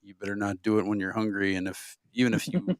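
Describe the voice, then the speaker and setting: A man with a slightly low voice joins a podcast conversation. He speaks casually, and the recording is dry with little room sound. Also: steady tone, quick pace, no echo. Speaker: podcast participant. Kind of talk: casual chat.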